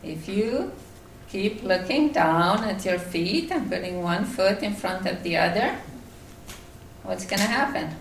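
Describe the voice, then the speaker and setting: A woman from Finland with a Finnish accent, giving a devotional talk at a retreat, halting with many fillers and restarts. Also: mid-range voice, lively intonation, gravelly, echoey room, distant mic, a little hiss or hum, rough recording. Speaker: retreat speaker.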